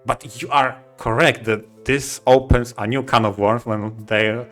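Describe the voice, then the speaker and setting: A man in casual podcast chat as a co-host, speaking haltingly and often repeating words as he forms his thoughts. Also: medium pitch, fairly even intonation, a bit bright, soft background music.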